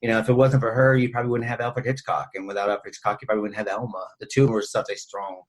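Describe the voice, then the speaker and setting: A man with a husky voice talking casually and ramblingly as a podcast host discussing film. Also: lowish voice, fairly even intonation, quick pace.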